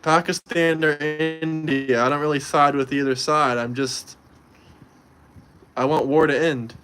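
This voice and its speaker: A young American man from Florida, talking off the cuff on a livestream. His voice sits a little high for a man, and he wanders and repeats himself while answering viewers. He sounds casual and unscripted. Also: fairly even intonation, unhurried, a bit bright, soft background music. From Florida, United States. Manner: loose, conversational, repeats himself. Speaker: livestream host. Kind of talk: casual livestream chat, reading viewer comments. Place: indoors in Montenegro.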